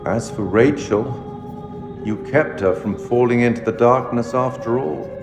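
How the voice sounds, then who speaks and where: Slow, sardonic actor as a mysterious male curator. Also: medium pitch, close to an everyday voice. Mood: wry, appraising. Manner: measured, formal, wryly sardonic. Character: enigmatic, sardonic male curator judging the player's fatal choices. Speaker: narrator addressing the player. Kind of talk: theatrical scripted game narration. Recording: long hall reverb, music underneath. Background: music.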